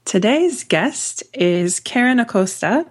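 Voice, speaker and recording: A woman's voice: on the high side, expressive, slow pace. A woman hosting a book podcast, introducing a guest in a friendly, casual way. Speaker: podcast host.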